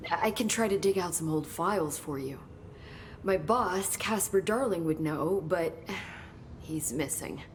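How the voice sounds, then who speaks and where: A low, soft-spoken researcher at a secret agency, talks thoughtfully with the odd sigh. Her dialogue comes from a video game cutscene with faint ambience behind it. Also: expressive, unhurried, slight background noise. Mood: earnest. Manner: soft, hesitant, thoughtful with sighs. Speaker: game character.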